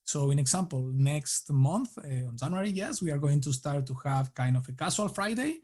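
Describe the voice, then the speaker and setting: A man from Argentina with an Argentine Spanish accent, answering interview questions in a casual, halting way with many restarts. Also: on the high side, expressive, a little mellow. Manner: halting, many restarts and fillers, laughs lightly. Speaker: guest.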